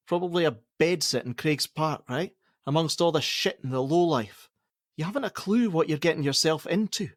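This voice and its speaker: Actor as angry, domineering father, swinging pitch. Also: no echo, clean studio sound. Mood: exasperated lecturing. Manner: argumentative, sarcastic, swearing. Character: angry, defensive, domineering father of a pregnant teenage girl. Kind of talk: naturalistic radio play acting.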